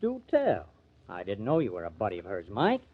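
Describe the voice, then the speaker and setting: An actor playing a glib, smitten, self-important small-time press agent in a 1950s radio drama. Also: male voice, lowish voice, huge pitch swings, fairly quick, breathy edge, heavily acted. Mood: smitten, smug. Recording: dull lo-fi old radio recording.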